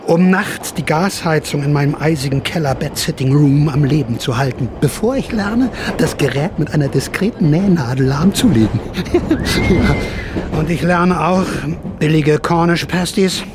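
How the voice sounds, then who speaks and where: An older man narrates in a wry, personal way, like a storyteller on a radio feature. His voice sits a little high for a man, and there is clear location noise behind him. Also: normal speed. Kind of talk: personal narration mixed with casual interviewing.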